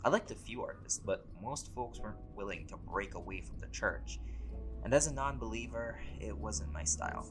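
A man acts out a laid-back male vampire who has lived for centuries, in an intimate one-sided audio roleplay. His voice sits fairly low and he chats with easy, scholarly wit and a playful teasing edge. Music plays clearly behind him. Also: moderate pace. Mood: musing, wry. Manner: relaxed, conversational, wryly playful. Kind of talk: conversational audio roleplay to an unseen listener.

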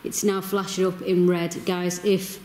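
A woman's voice: lowish voice, level tone, a little slow, darkish tone. A woman in her thirties investigating the paranormal in a cave, calling out to spirits and commenting to camera. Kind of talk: ghost-hunt calling out and running commentary. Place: inside a cave at night. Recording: roomy cave echo, clear background noise.

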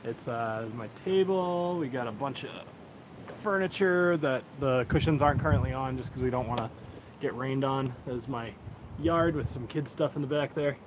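A man's voice: medium pitch, normal speed. A man in his thirties from the United States, rambling casually as he hosts a livestream on his phone outdoors. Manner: laid-back, rambling, chuckles often. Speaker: whiskey reviewer, livestream host. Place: outdoors on a backyard deck. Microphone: cell phone on a tripod. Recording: noisy outdoor phone recording.

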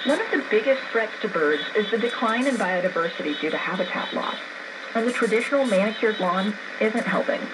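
A woman's voice: medium pitch, expressive, fairly quick. Female narrator, scripted nature-program delivery, mid-range voice, very noisy shortwave reception.

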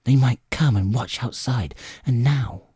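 A man voicing an anxious, determined innkeeper mother in an audiobook, urgent and hushed. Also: mid-range voice, measured pace, no echo, studio quality. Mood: fearful, urgent. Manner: urgent, breathy half-whisper. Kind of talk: audiobook character acting.

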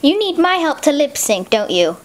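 Smug slacker girl, put on by the performer; drawled, lowered voice, faint hiss behind. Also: very animated, normal speed. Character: laid-back hippie-ish girl.

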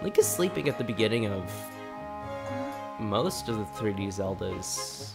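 A man's voice: lowish voice, very animated, unhurried, creaky. A man in his twenties with a cold, rambling through a story while casually commenting on his gameplay. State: has a cold. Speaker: let's play host. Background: game music.

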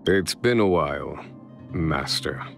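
A man voicing a composed, wise, wistful general in a video game, measured and unruffled. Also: very deep voice, lively intonation, gravelly, a bit bright, faint music behind, full character voice.

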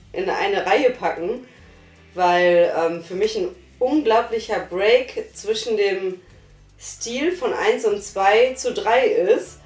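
Zögerliche Frau, langsam und hallig. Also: female voice, mid-range voice, darkish tone, echoey room, recorded from a distance. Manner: hesitant, many fillers. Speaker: reviewer. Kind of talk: casual review chat. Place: small living room, on a sofa. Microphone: far camera.

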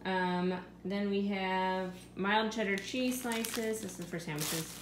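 A woman's voice: medium pitch, takes it slowly. A woman in her thirties, a mother of five, talking through her grocery haul at home and musing aloud over prices. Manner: chatty, thinking aloud about prices. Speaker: vlogger. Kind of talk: casual grocery haul narration. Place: home kitchen. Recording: echoey room with steady background noise.

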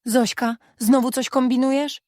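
A cross, suspicious woman from a storybook, put on by a female narrator. Her voice is high and scolding, with a dry sound. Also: steady tone, normal speed, darkish tone, no room sound, natural voice. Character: stern suspicious woman, a pet owner.

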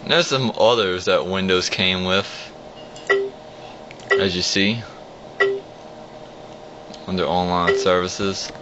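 Low-voiced young man on a lo-fi phone. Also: fairly even intonation, slow pace, pauses often. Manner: rambling, restarts, explains as he clicks. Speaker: vlogger showing an old computer. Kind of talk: casual off-the-cuff screen walkthrough. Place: indoors at a home computer desk. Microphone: phone camera held at the screen. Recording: dull lo-fi phone audio with hum.

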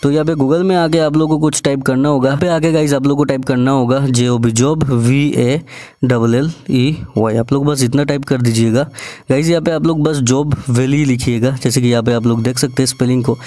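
Male Indian presenter for an instructional screen-recording voice-over; mid-pitched, mellow, quick, repetitive and directly addressing the viewer. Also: fairly even intonation. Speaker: job vacancy channel presenter.

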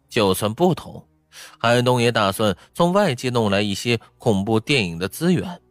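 Male storyteller, low pitch, very animated intonation, dramatic scripted narration, faint music behind. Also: dry recording. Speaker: audiobook narrator. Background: faint background music.

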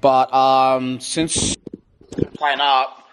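A man's voice: medium pitch, flat delivery, very slow. A man in his thirties chatting casually to camera in an upbeat, slangy hype style. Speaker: vlogger and rugby league player. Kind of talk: casual vlog chat to camera. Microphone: handheld camera at arm's length. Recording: clear background noise, traffic and room sounds.

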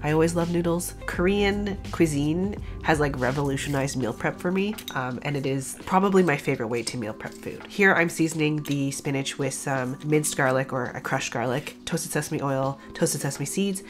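A woman's voice: deep voice, moderate pace, hardly any pauses, over music. A woman in her thirties, stuffy from a cold, chatting through a cooking voice-over with many rambling asides and "like"s. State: congested from a cold. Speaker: vegan cooking channel host. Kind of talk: casual voice-over cooking tutorial.

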